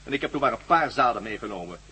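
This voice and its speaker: A man's voice: mid-range voice, animated, rapid-fire, bright, forceful tone, breathy edge, exaggerated voice. An actor playing a commanding villain captain in a radio drama, mocking and sardonic, gloating over his plans to rule the world. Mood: smug gloating. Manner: mocking, sardonic, barks orders.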